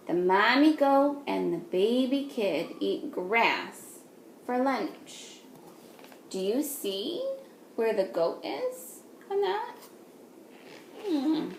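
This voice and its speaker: A woman teacher reading a picture book aloud to young children, patiently, in a sing-song way, pausing to ask them simple questions. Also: a little high. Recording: echoey room with faint noise.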